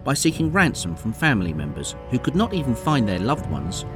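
A man's voice: mid-range voice, huge pitch swings, fairly quick, music in the background. A man narrating an audio drama in a scripted storytelling style. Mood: measured storytelling. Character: storytelling narrator of a time-travel adventure.

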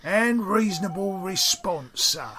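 A man acting a grumbling, slow-talking Cockney henchman. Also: sits high, animated, a crowd in the background. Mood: matter-of-fact. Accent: Cockney English. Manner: plaintive, rambling, folksy bad grammar. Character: dim, grumbling male Cockney henchman from London. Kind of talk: audio play acting.

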